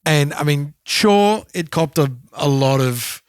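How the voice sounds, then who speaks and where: Halting man hosting a podcast. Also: on the high side, smooth, dry recording, clean studio sound. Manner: thoughtful, halting, repeats words. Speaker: podcast co-host. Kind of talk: casual podcast chat.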